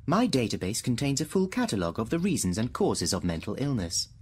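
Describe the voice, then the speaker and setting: Guy doing a machine in a radio drama, formal n literal, husky voice, kinda swoopy pitch, music behind. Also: wildly swooping pitch, a little fast, a little mellow. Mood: clinical reciting. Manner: formal, literal, repeating set phrases. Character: impassive thinking machine, male-voiced, relentlessly literal. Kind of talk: radio drama acting, computer-like recitation.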